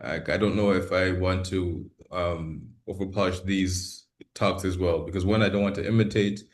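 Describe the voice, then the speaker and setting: A man hosting an informal, unpolished interview, talking in a halting, self-deprecating way. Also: deep voice, fairly even intonation. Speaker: interviewer, podcast host.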